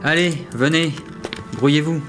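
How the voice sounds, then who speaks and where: An actor playing a bumbling, forgetful captain in a comic audio drama, with a hesitant, self-correcting manner. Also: male voice, fairly high pitch, unhurried, natural voice. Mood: explanatory, official. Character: bumbling, forgetful male captain of a time mission. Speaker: captain of a time-travel mission. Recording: music behind the voice.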